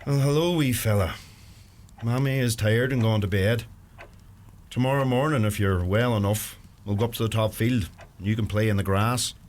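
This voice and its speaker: Man playing a low-voiced young Donegal farmer. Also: moderate pace, a little mellow, natural voice. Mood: tender. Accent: Donegal Irish. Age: young adult. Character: kindly young Donegal farmer, neighbourly and plain-spoken. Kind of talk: stage-style radio play acting. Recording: noisy radio play with ambience.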